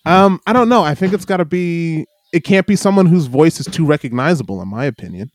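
A man's voice: a little high, expressive, fairly quick, slight background noise. A man co-hosting a podcast in casual chat, rambling and hedging with frequent laughs. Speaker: podcast co-host.

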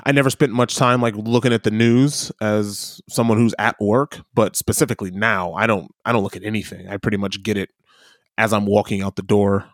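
A man's voice: lowish voice, fairly even intonation, brisk, no echo, clean studio sound. A man in his thirties with a Midwestern American accent, chatting casually and arguing his point with easy laughs. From United States. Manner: chatty, argumentative, laughs easily. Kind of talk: casual chat.

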